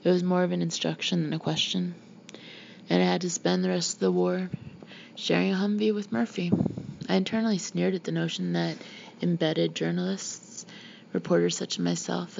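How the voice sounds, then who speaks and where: A woman narrates a memoir in the manner of an audiobook reader. Her voice sits on the low side with a lot of gravelly fry. The recording is a dull, homemade-sounding one. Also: flat delivery, a little fast, a little mellow. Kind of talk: reading a memoir aloud, scripted narration. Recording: dull lo-fi home recording, background noise.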